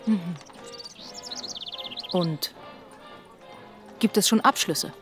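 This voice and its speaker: An actress playing a devoted wife with a measured, deliberate delivery in a radio drama. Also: female voice, mid-range voice, faint music behind. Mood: doubtful, firm. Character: Lina, devoted wife of a spendthrift businessman.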